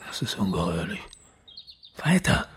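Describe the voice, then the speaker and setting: An actor playing a skeptical, authoritative police chief in a German crime radio drama, terse, with a husky and gravelly voice. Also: male voice, deep voice, big pitch swings, a little slow, exaggerated voice. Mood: disturbed, probing.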